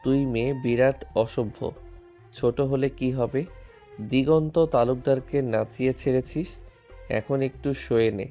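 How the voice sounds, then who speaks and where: A man acting a mellow, domineering young lover. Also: medium pitch, steady tone, unhurried, soft background music, natural voice. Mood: teasing, possessive. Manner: commanding, scolding. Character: domineering, teasing young male lover, gruff and possessive. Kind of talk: audio romance drama acting.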